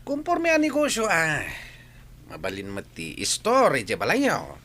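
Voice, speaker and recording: A man's voice: fairly high pitch, huge pitch swings, measured pace, sharp tone, a little hiss or hum, exaggerated voice. A man with an Ilocano accent playing a sly, fawning henchman in an audio drama. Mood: pushy, wheedling. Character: sly, fawning male henchman eager for money. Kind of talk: scripted audio drama acting.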